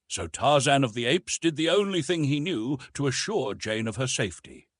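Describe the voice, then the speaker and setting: A man narrates a classic adventure story in a measured, literary way. His pitch moves widely and expressively through each sentence, and his voice has a husky edge. The sound is dry and close. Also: a bit bright, dry recording. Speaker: audiobook narrator.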